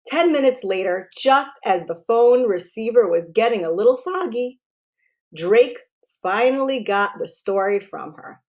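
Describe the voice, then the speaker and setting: Slow, higher-pitched woman reading a children's story. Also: lively intonation. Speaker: read-aloud storyteller. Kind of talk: expressive children's book narration.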